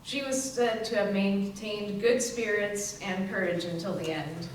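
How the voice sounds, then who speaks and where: Female stage narrator, medium pitch, scripted reading, recorded from a distance. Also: fairly even intonation, measured pace. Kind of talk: scripted narration in readers theater. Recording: distant mic, very echoey room, faint noise.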